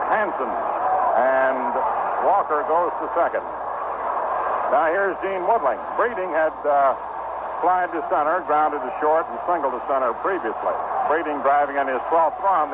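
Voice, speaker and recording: American guy doing old time radio baseball play by play, kinda high voice, really noisy muffled recording. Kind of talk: live 1960 radio sports commentary. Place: ballpark broadcast booth. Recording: noisy muffled vintage 1960 radio broadcast.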